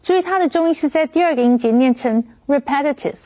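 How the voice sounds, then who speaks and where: A woman teaching vocabulary in a clear, measured, scripted way. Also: high-pitched, dark tone.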